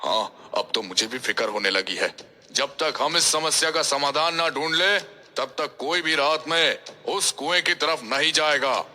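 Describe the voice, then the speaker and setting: A man's voice: on the high side, unhurried, sharp tone. A man voicing a worried, exasperated village father in a cartoon story, with an earnest, anxious manner. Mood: grave concern.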